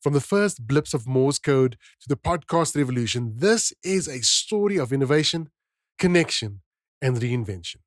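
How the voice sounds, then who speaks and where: A man's voice: medium pitch, animated, moderate pace, no echo, clean studio sound. A man hosting a podcast, reading scripted narration in a dramatic storyteller's manner. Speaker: podcast host.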